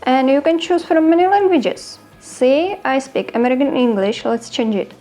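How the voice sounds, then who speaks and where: A woman's voice: high voice, moderate pace, dark tone, soft background music. A Czech woman hosting a show, casually walking through a language app in a chatty, self-deprecating way, speaking English as a second language. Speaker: host.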